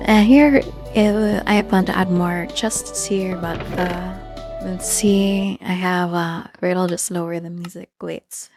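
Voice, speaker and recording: A person giving casual gameplay commentary in a hesitant, halting way, often searching for words. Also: mid-range voice, steady tone, darkish tone. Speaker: gamer narrating own Minecraft build tour. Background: background music.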